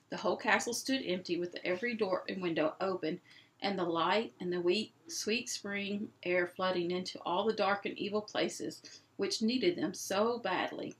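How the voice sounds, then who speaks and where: A woman reading a children's novel aloud as an expressive storyteller. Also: mid-range voice. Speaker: storybook reader.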